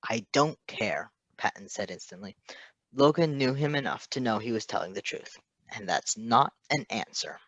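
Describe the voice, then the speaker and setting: A man's voice: on the low side, lively intonation, moderate pace, a bit bright, no room sound. Man narrating a story, close and dry. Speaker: fan fiction reader.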